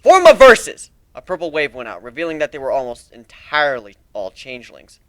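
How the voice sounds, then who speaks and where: Amateur male narrator for fantasy fiction: forceful, expressive, very dry sound. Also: medium pitch, fast, dry recording. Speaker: amateur story reader. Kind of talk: dramatic reading of first-person fantasy fan fiction.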